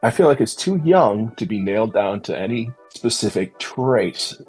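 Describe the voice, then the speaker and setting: A man's voice: lowish voice, animated, normal speed, a little mellow, breathy edge, faint music behind. A man hosting a podcast, talking in an earnest, thoughtful way and searching for his words in a casual discussion. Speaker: podcast host.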